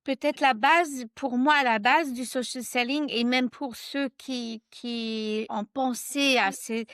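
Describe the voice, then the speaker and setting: A woman guest author talking in a casual interview, animated and hesitant, laughing often and slipping into English. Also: a little high, slow pace, smooth, dry recording, clean studio sound.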